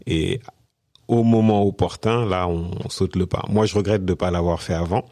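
A man's voice: sits low, fairly even intonation, moderate pace, dark tone, dry recording, studio quality. A man in his forties from Senegal, a guest on an interview, speaking casually with many fillers and restarts. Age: 40s. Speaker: podcast guest, business consultant.

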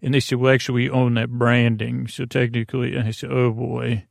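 Rambling, creaky-voiced man hosting a bedtime podcast. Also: mid-range voice, flat delivery, no room sound, clean studio sound. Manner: meandering, halting, with trailing tangents; soothing, reassuring. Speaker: podcast host. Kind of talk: rambling bedtime story monologue.

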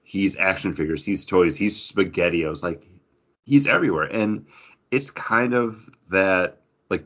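A man with a low voice chats as a podcast host. His delivery is conversational, rambling and enthusiastic. The recording is a little dull and band-limited. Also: fairly bright tone. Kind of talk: casual pop culture chat about comics.

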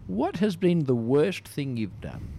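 A man's voice: fairly high pitch, big pitch swings, measured pace, gravelly, dark tone, a little hiss or hum. A man co-hosting a comedy podcast, asking questions in a playful, mock-formal way. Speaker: podcast co-host.

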